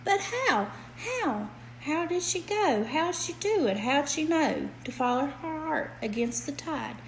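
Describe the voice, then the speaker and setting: A woman acts out an anxious little chickapig boy in a storybook. The voice leaps high, pleading and full of yearning, with emphatic questioning. A little noise sits in the background. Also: very animated, unhurried, exaggerated voice, low-quality recording. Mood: pleading, wondering.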